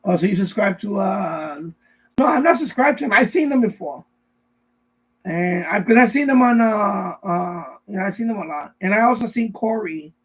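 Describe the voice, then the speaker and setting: Middle-aged male streamer, high raspy voice, casual rambling chat with viewers, loops back over his words. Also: animated, normal speed, fairly bright tone, rough recording. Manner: rambling, repetitive, answering viewers' messages. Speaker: live stream host. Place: basement at home.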